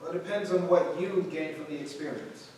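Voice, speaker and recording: Composed theater boss acted by a man, stage-play delivery, authoritative, higher-than-average voice, distant reverberant sound. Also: brisk, darkish tone, close to an everyday voice. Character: composed, formal young theater group leader. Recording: very echoey room, distant mic, faint noise.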